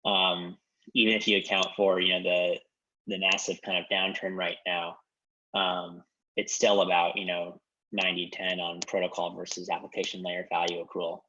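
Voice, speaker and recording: A man with a very low, flat voice that still sounds bright and forceful. He explains at a measured pace, filling pauses with ums. The sound is dull and lo-fi, as on a conference call line. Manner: measured, explanatory, frequent ums and you-knows.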